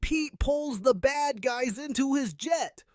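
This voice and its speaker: High-pitched, unhurried woman storyteller. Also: no room sound, studio quality. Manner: simple, expressive read-aloud delivery. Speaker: read-aloud storyteller. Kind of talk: children's picture book narration.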